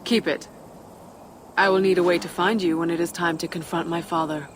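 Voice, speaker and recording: A woman playing a proud, defiant giantess, a tyrant's daughter, measured and wry in a video game cutscene. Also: lowish voice, fairly even intonation, a little hiss or hum, close to an everyday voice. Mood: cool resolve.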